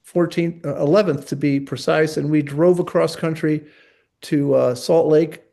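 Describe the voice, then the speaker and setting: A man in his sixties, raised in Italy and New York, tells his story as a guest. His voice sits a little high for a man. He talks in stops and starts, full of "um" and restarts. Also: steady tone, creaky, mellow. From Italy and New York City. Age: 60s. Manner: halting, many fillers and restarts. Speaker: guest sculptor. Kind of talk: casual interview talk.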